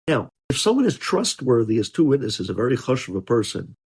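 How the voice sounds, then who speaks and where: A man's voice: medium pitch, very animated, brisk, darkish tone, slightly rough. A man giving a religious lesson as a rabbi, explaining emphatically and posing questions that he then answers. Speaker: rabbi teaching religious law.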